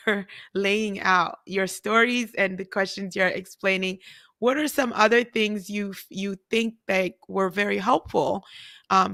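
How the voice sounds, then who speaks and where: A woman hosting a casual interview, expressive and talkative, whose first language is not English. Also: mid-range voice, gravelly, no echo, clean studio sound. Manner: expressive, talkative, frequent laughter. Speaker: host.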